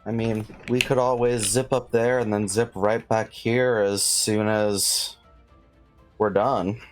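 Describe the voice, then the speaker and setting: A man's voice: fairly low pitch, fairly even intonation, slow pace, faint music behind. A man chatting casually as a player in a tabletop game. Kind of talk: casual chat.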